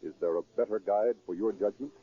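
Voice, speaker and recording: Man announcing on muffled 1930s radio, husky. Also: lowish voice, a little fast. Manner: formal, declamatory, scripted delivery. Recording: muffled, band-limited 1930s radio broadcast.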